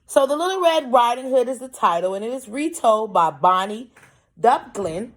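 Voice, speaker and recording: A woman's voice: on the high side, lively intonation, moderate pace. A woman teaching young children through a read-aloud, explaining and prompting with questions in an instructive way.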